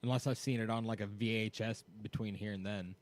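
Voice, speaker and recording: A man's voice: on the low side, fairly even intonation, brisk. A man in casual podcast chat, laid-back and profane, laughing along. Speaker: podcast co-host.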